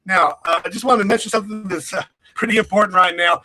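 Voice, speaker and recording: A man's voice: sits high, steady tone, fast talker, bright, forceful tone. A middle-aged man hosting a live webinar, talking loosely with many fillers and restarts, over crackly internet audio. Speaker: webinar host. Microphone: computer mic on a video call.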